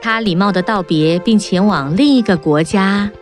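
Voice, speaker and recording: A woman's voice: mid-range voice, moderate pace. Woman narrating a fairy tale over music. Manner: clear, storybook storytelling.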